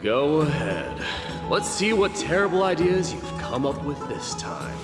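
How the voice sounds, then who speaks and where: A man voicing a proud young warrior prince in a video game, haughty, sardonic and deliberate. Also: a little high, huge pitch swings. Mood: guarded, sardonic. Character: proud, gruff young warrior crown prince, mocking rival. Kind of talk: anime-style video game voice acting. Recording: echoey hall reverb, music behind.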